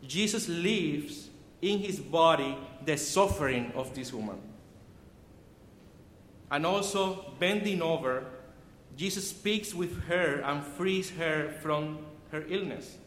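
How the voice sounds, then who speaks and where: A man with a Cuban Spanish accent delivers a sermon slowly and earnestly, his voice a little high for a man. He often stops and restarts words as he reaches for them in English. The recording is roomy, with long reverb from a church sanctuary heard from some distance. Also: a bit bright, a little hiss or hum. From Cuba. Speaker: preacher. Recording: echoey hall from far off.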